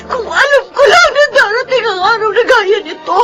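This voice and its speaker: A woman's voice: extremely high pitch, animated, moderate pace, over music. A woman playing a hurt, rebellious teenage daughter with a Filipino accent in a melodrama. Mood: hurt, tearful, angry. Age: young adult. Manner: defiant, tearful outbursts. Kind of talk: melodramatic Filipino drama acting.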